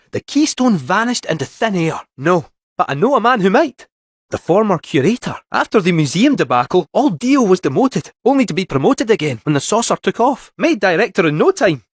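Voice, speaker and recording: A man's voice: high-pitched, dry recording, studio quality. A man playing a small, chirpy, wily robotic cat in a video game, with a Scottish accent and a theatrical, folksy, chatty delivery. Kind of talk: scripted video game character dialogue.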